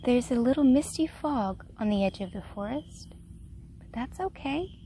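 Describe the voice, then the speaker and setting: A woman leading a guided meditation in a gentle, unhurried, soft-spoken way. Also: fairly high pitch, animated, slight background noise. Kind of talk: guided meditation, slow soothing narration.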